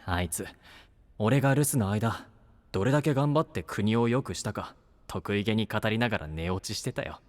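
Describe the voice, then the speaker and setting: An actor playing a brash, devoted young knight-to-be who talks bluntly and casually in an anime-style voice drama. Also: male voice, lowish voice, moderate pace, close to an everyday voice. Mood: calm, wry. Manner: blunt, casual rough masculine speech. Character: brash, earnest young male knight-to-be, rough-spoken but devoted.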